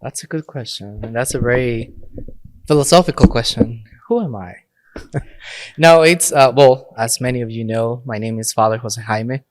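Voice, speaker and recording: A man's voice: medium pitch, normal speed, dry recording. A young adult man from Mexico, a priest and podcast guest, who speaks English as an in short phrases and laughs often. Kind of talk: casual interview talk.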